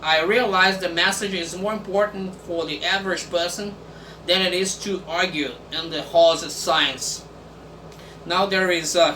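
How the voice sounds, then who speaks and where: A man whose first language is Portuguese, hosting a casual video and reading text aloud in English with many pauses and fillers. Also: on the high side, pushed and bright. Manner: halting, many fillers and restarts. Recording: echoey room from far off, faint background noise.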